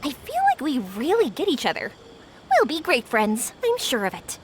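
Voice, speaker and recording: An actress playing a bubbly, warm-hearted young woman in a video game, friendly, enthusiastic and chatty. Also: high-pitched, wildly swooping pitch, moderate pace, slight background noise. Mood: warm, excited. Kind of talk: English video game voice acting. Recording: music playing behind the voice.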